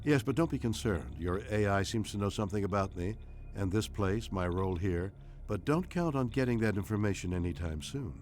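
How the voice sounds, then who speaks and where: An actor playing an ancient, enigmatic alien entity in a game, speaking calmly and formally in measured, cryptic lines. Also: male voice, on the low side, slight background noise, close to an everyday voice. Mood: guarded, assured. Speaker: voice pack character. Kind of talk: scripted game dialogue.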